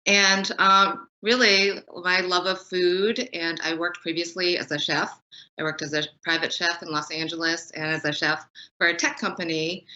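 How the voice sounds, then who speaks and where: A woman from New England, a food business founder and former chef, answering hesitantly with many pauses and ums. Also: on the low side, level tone, sharp tone. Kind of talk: conversational interview answer. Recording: lo-fi remote audio.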